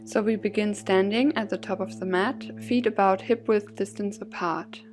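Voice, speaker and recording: A woman leading a guided yoga and gratitude class as instructor, soothing and measured in her phrasing. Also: mid-range voice, fairly even intonation, faint music behind. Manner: soothing, gently measured phrasing with breath cues.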